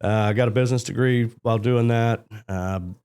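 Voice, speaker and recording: A man who owns a roofing business, answering interview questions in a plain, steady way. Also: mid-range voice, normal speed, slight background noise. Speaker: roofing business owner, guest.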